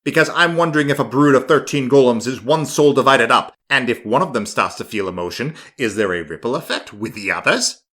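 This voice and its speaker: Man playing a fussy, animated mad scientist. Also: mid-range voice, brisk, hardly any pauses, natural voice. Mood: exasperated, demanding. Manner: exasperated, pompous, conversational. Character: fussy, irritable mad scientist doctor, a self-absorbed customer. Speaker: audiobook narrator. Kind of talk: dramatic monologue as a phone message.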